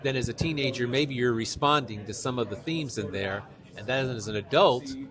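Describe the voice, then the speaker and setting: A man's voice: mid-range voice, normal speed, crowd noise. A middle-aged man from New York telling anecdotes in an interview, talkative and enthusiastic about his subject. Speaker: author, interview guest. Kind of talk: conversational interview, anecdotal storytelling.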